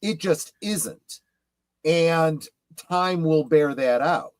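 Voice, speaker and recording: A man giving opinions in a casual panel chat, emphatic and deliberate, halting between phrases. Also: a little high, dry recording. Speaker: guest co-panelist on a fan show.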